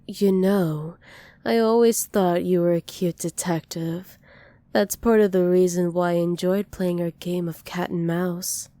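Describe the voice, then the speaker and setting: A woman playing a dominant, possessive villain who dotes on a captive, softly menacing and teasing in an ASMR roleplay. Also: fairly low pitch, fairly even intonation, unhurried, no room sound, close to an everyday voice. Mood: smug, affectionate. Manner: soft, teasing menace with breathy whispered endearments.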